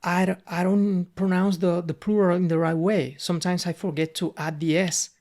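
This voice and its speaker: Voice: mid-range voice, little pitch movement, moderate pace, a little mellow. Cuban-accented middle-aged language tutor. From Cuba. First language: Spanish. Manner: conversational, earnest, repeats words while searching for them. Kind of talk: unscripted spoken lesson monologue.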